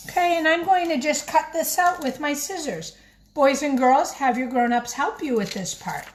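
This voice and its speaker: A woman teacher gently and encouragingly talking young children through a craft in simple words as she demonstrates it. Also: high voice, moderate pace. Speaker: primary school teacher leading a craft. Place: home kitchen, by the refrigerator. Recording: echoey room with faint background noise.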